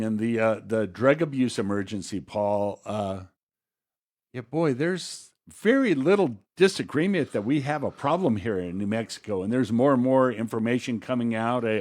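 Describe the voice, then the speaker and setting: A man with a mid-pitched voice co-hosts a political podcast. His commentary is conversational and comes out haltingly. He pauses and rephrases as he goes. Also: a little mellow. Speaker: podcast co-host.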